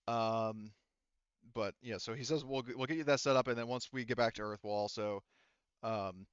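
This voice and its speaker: Male game master, smooth dry voice, explains things casually and haltingly at length. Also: on the low side, a bit bright, no room sound, muffled recording. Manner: halting, explains in rambling detail. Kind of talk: casual tabletop game narration.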